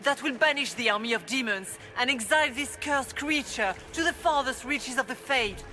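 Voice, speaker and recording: An actress playing a wise, composed female spirit guide in a video game, speaking in a measured, earnest, authoritative way. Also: sits high, bright, forceful tone, soft background music. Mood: urgent, resolute. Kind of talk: dramatic video game acting.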